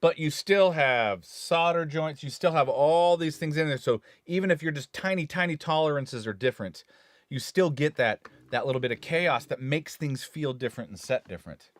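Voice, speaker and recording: A man's voice: fairly high pitch, lively intonation, normal speed, no echo. Rambling studio engineer man, dry sound. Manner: rambling, self-correcting, enthusiastic about gear. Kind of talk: casual live-stream gear chat.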